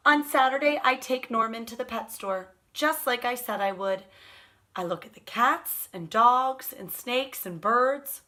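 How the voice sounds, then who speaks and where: Female storytime reader, high bright voice, expressive, talks to kids. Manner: expressive, drawn-out, asks listeners questions. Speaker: storyteller reading a picture book to children. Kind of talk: children's storytime read-aloud.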